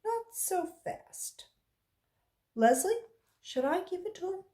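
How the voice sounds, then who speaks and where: A woman's voice: very high-pitched, sing-song, takes it slowly, dark tone, voice pushed up, heavily acted. A woman voicing a teasing playground man who questions children with mock hesitation in a storybook. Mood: teasing, stalling.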